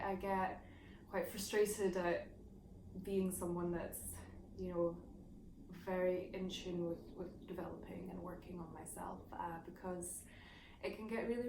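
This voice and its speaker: Soft-spoken woman teacher in an echoey room. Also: medium pitch, slight background noise. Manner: soft, rambling and reflective, with many fillers. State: low morning voice. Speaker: singing and voice teacher. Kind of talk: gentle guided lesson. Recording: echoey room, mic at a distance.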